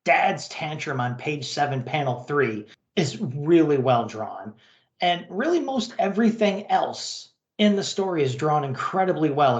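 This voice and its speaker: Male host, wry and emphatic reviewer, mid-range animated voice, echoey room sound. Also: a little high, highs cut off. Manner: sarcastic, emphatic. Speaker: podcast host. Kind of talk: wry comic book review.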